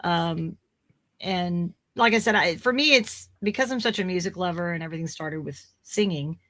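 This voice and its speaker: A woman in her forties, a voice actor guest on a podcast, chatting in a rambling way with fillers and restarts, her voice slightly raspy. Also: on the low side, moderate pace, pushed and bright. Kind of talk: casual podcast interview.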